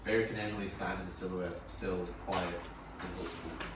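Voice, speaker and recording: A distant, heavily echoing recording with clear noise behind a man narrating screen directions. The voice is low, reading a film script in dramatic fashion. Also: fairly even intonation, brisk. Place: echoey room at a group table read. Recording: very echoey, distant, noisy room.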